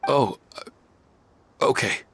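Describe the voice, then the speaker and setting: A man's voice: drawn-out words, a bit bright, slight background noise. A man playing a mild, apologetic young man in a video game, hesitant and polite. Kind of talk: video game character dialogue.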